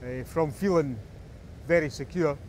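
A man, recalling an ordeal in an interview, talking in halting bursts with restarts. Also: a little high, a little hiss or hum, low-quality recording. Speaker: rescued man.

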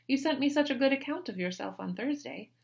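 A calm, sensible young woman, as a woman reader puts her on answers in a lifted, gentle voice. She sounds patient and reasonable and never raises her volume. She is read in a storybook way. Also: high-pitched, fairly quick, vocal fry, voice pushed up. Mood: soothing.

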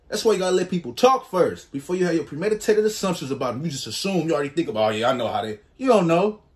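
Young man, reaction streamer, headset mic, slangy, fairly high voice, bursts of high exclamations. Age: 20s. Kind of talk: casual reaction commentary.

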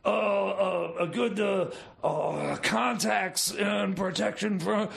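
A man's voice: high voice, steady tone, takes it slowly, gravelly, fairly bright tone, heavily acted. A man playing a jittery, scheming inmate in a comedy radio play, stammering and rambling in fragments. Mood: hesitant, scheming. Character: jittery scheming male inmate cellmate making shady deals. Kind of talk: improvised comedy radio theater.

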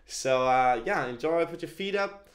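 A man's voice: fairly high pitch, expressive, a little slow. A young man in his twenties hosting an interview show, speaking casually and upbeat with many fillers. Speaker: host. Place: small attic room indoors. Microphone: headset.

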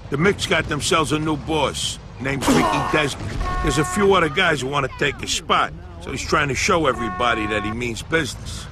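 A man's voice: a little high, expressive, brisk, close to an everyday voice. A man playing a brash, easygoing mobster buddy in a video game, with an American accent and colloquial, streetwise talk. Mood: confident, scheming. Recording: noisy game ambience, engine sounds behind.

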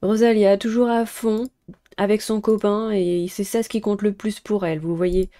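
Young woman game streamer, mid-pitched, mellow voice, casual commentary mixed with dialogue read aloud. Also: steady tone, moderate pace.

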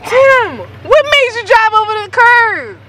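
A woman's voice: very high voice, animated, measured pace, heavy background noise. A young adult woman chatting casually with friends on a handheld vlog camera. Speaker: vlogger. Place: inside a vehicle and a convenience store at night. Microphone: handheld vlog camera at arm's length. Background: music.

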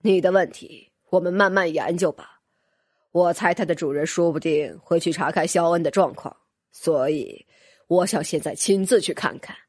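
A woman voicing a calm old gentleman mentor, a dragon in human guise, measured and reassuring, in an audiobook. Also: lowish voice, husky, no room sound, pitched below the performer's own voice. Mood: calm, assured. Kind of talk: audiobook character voice.

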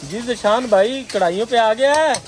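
A man's voice: very high-pitched, normal speed. A man hosting a casual food vlog, joking and bantering with friends at an open-air restaurant kitchen at night. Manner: chatty, jokey banter with friends. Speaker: vlogger host.

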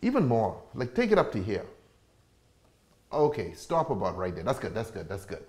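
A man's voice: on the low side, huge pitch swings, moderate pace, a little mellow, slight background noise. A man with an American accent hosting a cocktail show, bantering in casual chat with jokes and cursing. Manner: joking, profane banter. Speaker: bartending show host.

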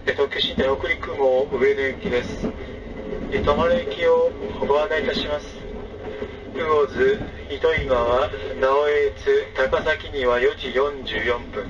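Male conductor, formal PA announcements, dull distant speaker sound over loud train rumble. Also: fairly even intonation. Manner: formal, measured, with frequent "e" fillers. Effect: public address speaker. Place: moving overnight sleeper train. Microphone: train public address system, recorded from a passenger seat. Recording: distant, dull, noisy 2006 recording of train PA. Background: train running noise.

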